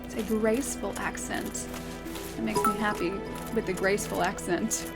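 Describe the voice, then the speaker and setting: A woman's voice: mid-range voice, fairly even intonation, normal speed, over loud music. An Australian woman streaming a game, chatting casually and reading her chat aloud, laughing often and thinking aloud with plenty of fillers.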